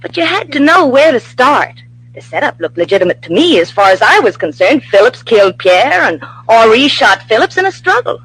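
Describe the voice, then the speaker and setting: An inquisitive sidekick in an old radio mystery. Her high voice has a husky grain and jumps around with eager, impatient questioning, on an old broadcast recording. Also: big pitch swings, fairly quick, a bit bright, heavily acted, low-quality recording. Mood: probing. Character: inquisitive, impatient female companion of the detective.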